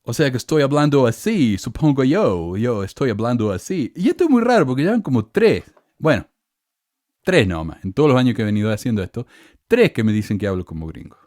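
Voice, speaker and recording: A man's voice: very animated, a little fast, a little mellow, no echo, clean studio sound. A middle-aged man with a Cordoba Argentine accent, hosting a podcast and reading out hostile comments with sarcastic indignation. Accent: Cordoba Argentine Spanish. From Córdoba, Argentina. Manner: indignant, sarcastic, rants with rising pitch. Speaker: podcast host.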